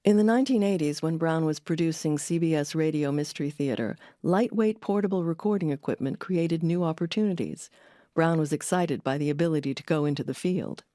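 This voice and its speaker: Low-voiced woman narrating a documentary. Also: fairly quick, warm tone, no room sound, studio quality. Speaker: documentary host. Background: music under the voice.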